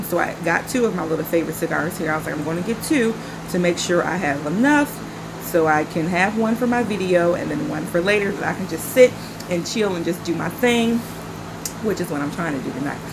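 Woman vlog host, 40s, a bit nasal from a stuffy nose, lowish voice, relaxed and chatty, patio recording with steady background noise. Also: a little fast. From Carolinas, US. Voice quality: a little nasal. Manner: relaxed, chatty, smoking while she talks. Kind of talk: casual chat to camera. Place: outdoor patio at night.

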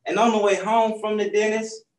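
A man's voice: high voice, moderate pace. Masked man speaking from across a room. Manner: relaxed, conversational, speaks through a face mask. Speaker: children's book author presenting his book. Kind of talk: casual storytime talk to kids. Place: bookstore, seated on a stool among shelves. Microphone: far camera. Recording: roomy distant sound on a video call.

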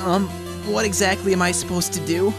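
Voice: medium pitch, level tone, a little fast, over music, lightly acted. An actor playing a young, unsure rookie defense lawyer in an anime-style game fan dub. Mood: nervous, flustered.

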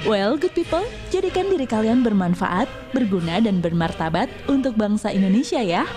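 A woman reads scripted news lines in a lively voice that swings widely in pitch. Music plays clearly underneath her. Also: normal speed. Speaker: news reporter. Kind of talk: scripted narration.

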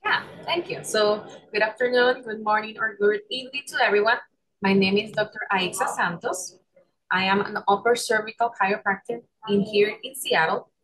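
A woman's voice: mid-range voice, normal speed, fairly bright tone, a little hiss or hum, poor audio. A young woman with a Puerto Rican Spanish accent, a chiropractor giving an online lecture over a video call, explaining with frequent ums and restarts. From Puerto Rico. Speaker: chiropractor presenter.

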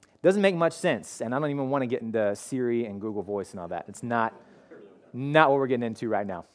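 A man in his thirties preaching a sermon in a school hall, talking in a conversational, animated way with many restarts, on a headset mic. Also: medium pitch, quick pace. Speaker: pastor. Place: school hall with curtained stage.

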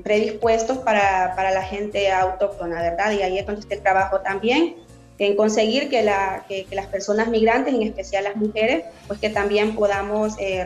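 Honduran woman on an echoey video call. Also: medium pitch. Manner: thoughtful, many hesitant fillers and restarts. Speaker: author and poet, guest. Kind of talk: remote interview. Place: indoor room at home. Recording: roomy video call with faint music.

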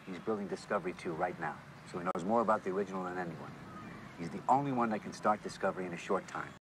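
A man plays a space official who briefs in a clipped, matter-of-fact way. His voice is very deep and quick. It comes from a noisy film soundtrack. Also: close to an everyday voice.

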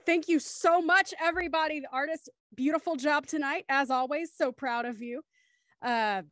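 A young woman hosts a casual online panel chat in a high voice. The sound is dry and close, picked up at home on a video call. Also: no echo. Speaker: host of a podcast after-show.

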